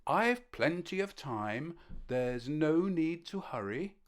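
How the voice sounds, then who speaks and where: A woman voicing a kind, calm male ant doctor in a storybook, gentle and reassuring. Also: low-pitched, slow pace, exaggerated voice. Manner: gentle, reassuring bedside manner. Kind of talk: storybook character voice.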